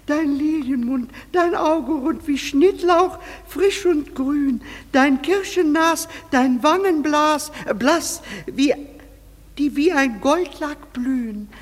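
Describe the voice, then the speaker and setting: A woman playing a melodramatically grieving lover in a radio play, declaiming clumsy tragic verse in drawn-out laments. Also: high-pitched. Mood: melodramatic grief. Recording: long hall reverb, faint music behind.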